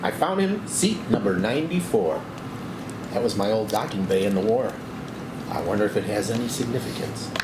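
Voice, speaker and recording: A man's voice: on the low side, expressive, normal speed, natural voice. A man playing an earnest, muddled hero at a comic screenplay table read, with a bumbling delivery. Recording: echoey room with clear background noise.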